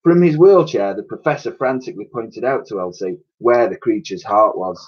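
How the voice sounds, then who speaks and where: A man's voice: fairly low pitch, normal speed, breathy edge, lo-fi sound. A man reading a children's story aloud like a teacher, with theatrical, suspenseful narration and emphatic sound effects spoken by mouth.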